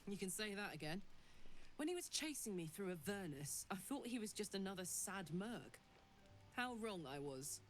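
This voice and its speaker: A woman playing a blunt, warm, grateful tiefling warrior in a video game. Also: medium pitch, sing-song, moderate pace, soft background music, close to an everyday voice. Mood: admiring.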